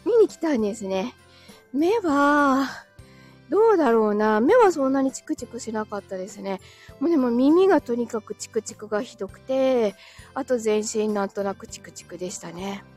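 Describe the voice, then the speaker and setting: A woman with a high-pitched voice chats informally to her livestream viewers. She giggles often as she replies to comments, sounding tired and slightly breathless from a recent illness. Soft music plays faintly behind her. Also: animated, moderate pace. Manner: giggly, often breaking into small laughs. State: recovering from illness, tired and a little short of breath. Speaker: live streamer and voice actor. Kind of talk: casual live-stream chat, answering viewers' comments.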